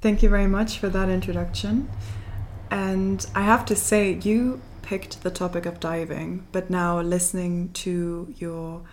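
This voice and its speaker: A woman's voice: on the low side, little pitch movement, unhurried, a little mellow, a little hiss or hum. A woman hosting a podcast interview, asking polite questions. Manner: polite, scripted opening questions. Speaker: podcast host.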